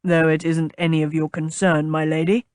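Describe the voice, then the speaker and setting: Lady doing a pompous bodyguard captain guy for an audiobook, deep growly, clipped, exasperated, very proper. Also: flat delivery, normal speed, darkish tone, no echo, clean studio sound, natural voice. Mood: stern, curt. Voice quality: low, growly. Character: gruff, pompous man, a stiff captain and bodyguard.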